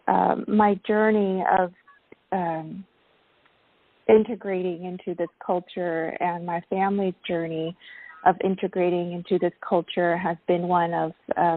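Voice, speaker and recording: Slow, thoughtful woman on a phone-like line. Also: on the low side, dry recording. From born in Vietnam, grew up in the United States. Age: 30s. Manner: hesitant, thoughtful, halting. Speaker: interview guest. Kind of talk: reflective personal storytelling. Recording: narrow phone-like sound.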